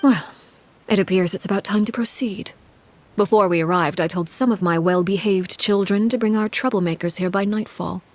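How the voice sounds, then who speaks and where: A woman voicing a cold, imposing female head of household called Father in a video game, speaking in a measured, formal, menacing way. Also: mid-range voice, natural voice. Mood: stern, controlled. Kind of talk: scripted video game acting. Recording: clear background noise with clicks.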